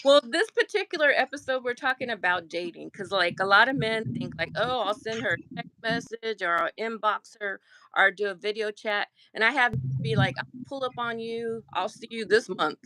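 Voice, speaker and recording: A woman's voice: a little high, moderate pace, sharp tone, dry recording, poor audio. A woman hosting a live video show from a close mic, chatting casually, laughing easily, and leaning on "like" a lot. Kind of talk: casual live-stream chat.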